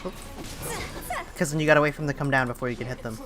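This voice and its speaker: A live streamer with a mid-pitched voice talks casually over clear game music. The delivery is halting, like someone thinking aloud while playing. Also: steady tone. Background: video game music.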